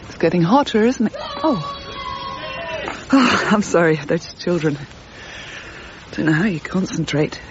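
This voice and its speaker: A woman's voice: lowish voice, lively intonation, measured pace, long pauses, highs cut off, noisy background. An actress playing a reserved, polite but prickly landlady in a radio drama, with a restrained, clipped manner. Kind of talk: naturalistic radio drama acting.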